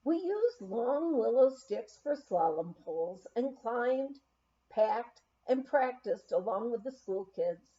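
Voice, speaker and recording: A woman's voice: fairly high pitch, very animated, takes it slowly, mellow. A woman reading a memoir aloud as an audiobook narrator, on a slightly dull recording. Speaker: audiobook reader.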